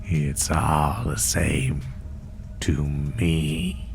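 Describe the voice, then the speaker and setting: A sadistic spider monster played by a man stalks its prey in a horror audio roleplay. The voice is extremely low and crackles with fry, often sinking into a breathy whisper. It draws out its taunts very slowly and with cold menace. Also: level tone, darkish tone, soft background music, full character voice. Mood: gloating. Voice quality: deep breathy, gravelly half-whisper. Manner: slow, taunting, menacing, drawn-out phrases. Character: sadistic male spider monster hunting prey through the woods.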